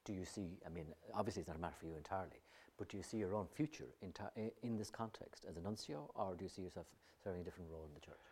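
A man with a very deep, husky voice puts direct questions to his guest. He talks at a fast clip. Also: a little mellow. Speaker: newspaper interviewer. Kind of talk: interview questions.

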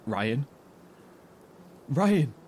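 A man's voice: medium pitch, sing-song, drawn-out words, darkish tone. A man acting a gritty, protective, sweary apocalypse survivor in a naturalistic horror audio drama, with terse, wry delivery. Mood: panicked, defiant. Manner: naturalistic, terse, sweary, wryly self-deprecating. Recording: noisy in-world field recording.

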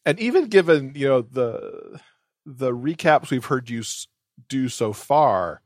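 A man speaking as a podcast guest in a casual book discussion, conversational and even-handed. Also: medium pitch, unhurried, dry recording, studio quality.

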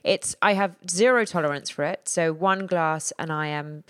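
A British woman co-hosting a casual chat podcast, chatty and quick to laugh. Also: low voice, clear, resonant tone, dry recording, studio quality. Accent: British English. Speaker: podcast co-host.

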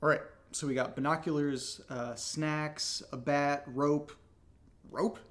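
A man's voice: steady tone, slow. A man playing an exasperated, skeptical young American straight man in a comedic audio drama, speaking with casual, dry sarcasm. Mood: puzzled, probing. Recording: noisy low-level home recording.

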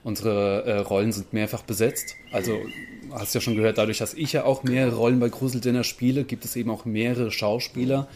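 Man chatting casually, medium pitch. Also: fairly even intonation, brisk, few pauses, a little mellow, a little hiss or hum. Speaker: stage actor, interview guest. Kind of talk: casual interview chat.

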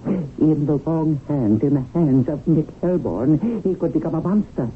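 An actor playing an imperious celestial supervisor in a radio drama, theatrical, insistent and demanding. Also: male voice, fairly high pitch, unhurried, lightly acted. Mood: urgent alarm. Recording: tinny, noisy vintage radio broadcast.

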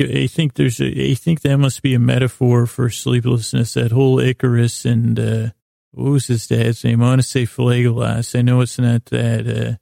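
Man, very creaky voice, mellow tone, podcast host, meandering bedtime monologue, halting, self-deprecating. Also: medium pitch, dry recording, clean studio sound. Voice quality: creaky, gravelly. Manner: meandering, halting, self-deprecating, often losing his thread. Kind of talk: rambling sleep podcast monologue.

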